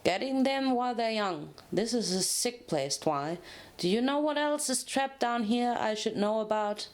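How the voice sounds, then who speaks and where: A woman's voice: on the high side, animated, normal speed, natural voice. A woman voicing a practical female pony with a blunt, informal, folksy way of talking in a story reading. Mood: wary.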